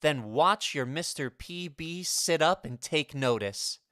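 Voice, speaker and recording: A woman's voice: deep voice, animated, slow pace, fairly bright tone, no echo, clean studio sound, exaggerated voice. A woman voicing an earnest, melodramatic teenage schoolboy who declaims and confides, in vintage radio comedy style. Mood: theatrical reading. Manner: conspiratorial, grandly declaiming.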